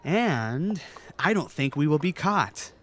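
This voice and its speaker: Man as young knight, shy, playful, hesitant, slow-paced, lively pitch, fantasy audio drama. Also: heavily acted. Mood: playful, bashful. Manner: casual, hesitant. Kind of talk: fan audio drama acting.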